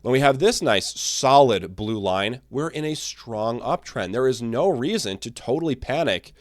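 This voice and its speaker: A man hosting his own market commentary channel, talking casually and energetically, his voice a little raspy from talking all day. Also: mid-range voice, moderate pace, no room sound, clean studio sound. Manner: energetic, animated, restarts and fillers. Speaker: crypto channel host.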